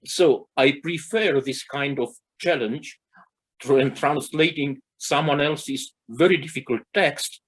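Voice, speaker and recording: A man whose first language is Romanian, co-hosting a literary interview and pausing often to search for words. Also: on the high side, a bit bright, husky. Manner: halting, searching for words with many fillers. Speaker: co-host.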